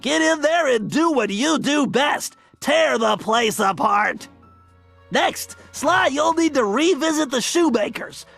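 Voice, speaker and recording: An actor playing a nerdy, earnest cartoon turtle mastermind in a video game, nasal and anxious, with precise, wordy delivery. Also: male voice, very high voice, animated, takes it slowly, creaky, a bit bright, soft background music, heavily acted. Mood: earnest, commanding. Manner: precise, wordy, fussy briefing.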